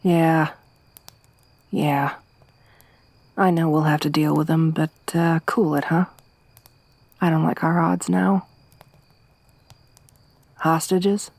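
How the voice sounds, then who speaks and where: A woman plays a tough young wasteland survivor who talks low and slow, her voice close and dry with no room sound. Her tone stays flat and even, soft-spoken but gruff, with dry teasing running through it. It's an intimate ASMR roleplay. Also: no echo, full character voice. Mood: low-key, wry.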